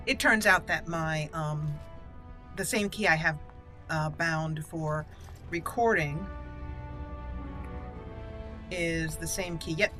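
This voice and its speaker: A woman hosting a let's play, chatting and thinking aloud as she plays. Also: fairly low pitch. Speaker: gamer host, role-player.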